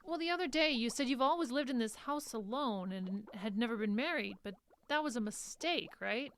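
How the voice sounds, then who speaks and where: A woman's voice: fairly high pitch, animated, moderate pace, fairly bright tone. A woman playing a concerned young care assistant in a radio drama, hesitant yet probing. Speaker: actor in audio drama. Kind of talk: live radio drama acting.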